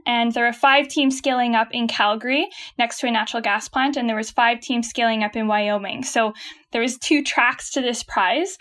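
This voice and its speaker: A woman's voice: on the high side, sharp tone. A Canadian woman, a guest on a podcast, explaining her company's work in a friendly way and laughing easily. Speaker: guest, company chief of staff. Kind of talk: conversational interview.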